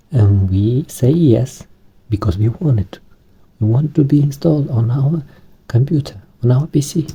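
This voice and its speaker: Slow, halting man with a Romanian accent. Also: medium pitch, dark tone, slightly rough, rough recording. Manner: halting, searching for words, many restarts. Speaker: tech vlogger. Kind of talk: screen-recorded tutorial.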